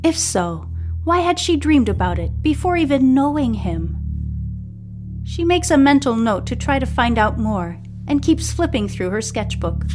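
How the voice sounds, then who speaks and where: A woman hosting a story podcast, reading scripted narration from a serial novel. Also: on the high side.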